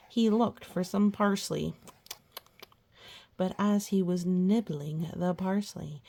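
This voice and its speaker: A woman's voice: mid-range voice, a little mellow. A woman reading a children's picture book aloud as a storyteller, with chatty asides and childlike enthusiasm.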